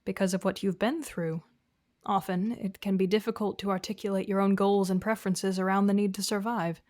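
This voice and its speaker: A woman playing a composed, gently corrective therapist in an audio drama, speaking in a measured, patient, questioning way. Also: mid-range voice, fairly even intonation, no room sound, studio quality, close to an everyday voice. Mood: calm, encouraging. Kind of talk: naturalistic audio drama dialogue.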